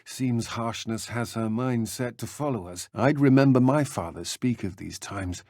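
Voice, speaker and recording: A man voicing a stern, world-weary dark wizard in a video game, speaking in measured, archaic phrases. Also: mid-range voice, no echo, studio quality, natural voice. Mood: musing. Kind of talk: video game idle and patrol dialogue.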